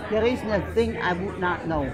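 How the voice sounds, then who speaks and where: A woman's voice: medium pitch, moderate pace. A woman in her nineties from Bavaria with a German accent, chatting casually and repeating herself emphatically. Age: 90s. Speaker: longtime restaurant customer interviewed. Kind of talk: casual interview chat. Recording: busy public room, loud crowd chatter. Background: crowd chatter indoors.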